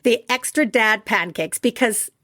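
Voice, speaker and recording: A middle-aged woman co-hosting a podcast on a video call, greeting guests and telling quick anecdotes. Her voice sits a little high, and the sound is dry and close. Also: a bit bright, no room sound. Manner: friendly interviewer, tells anecdotes. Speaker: podcast co-host. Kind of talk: casual chat.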